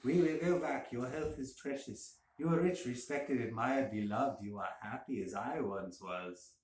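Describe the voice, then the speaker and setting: Man as a courteous, cunning gentleman, roomy sound. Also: mid-range voice, close to an everyday voice. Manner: courteous, measured, insistent. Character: solicitous, cunning, polite gentleman feigning concern.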